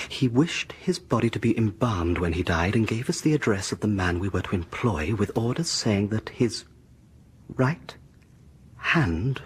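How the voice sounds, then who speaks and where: An actor playing a sceptical, shaken British man in a radio horror drama, speaking haltingly and repeating himself. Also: mid-range voice. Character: sceptical, shaken man, rational friend of the haunted man. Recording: noisy old radio drama broadcast.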